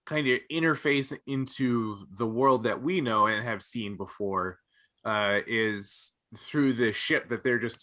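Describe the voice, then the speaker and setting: Bright-voiced man, halting casual podcast talk. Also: medium pitch. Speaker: podcast panelist. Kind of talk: casual fan discussion.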